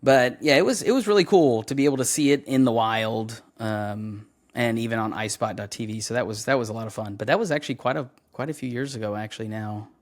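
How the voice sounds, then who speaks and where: A man's voice: mid-range voice, fast, hardly any pauses. Easygoing man telling stories. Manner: relaxed, storytelling, many fillers. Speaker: voice actor guest. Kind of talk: casual interview chat.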